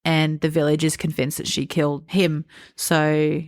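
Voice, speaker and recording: Woman, deep-pitched, dry close sound, casual book chat, podcast co-host. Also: little pitch movement, measured pace, darkish tone, no room sound.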